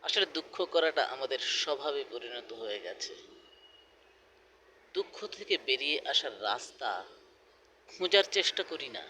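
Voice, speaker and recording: A man reciting a poem in a dramatic style, deliberate and emphatic, pausing between short phrases. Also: medium pitch, animated, sharp tone, a little hiss or hum. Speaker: poetry reciter.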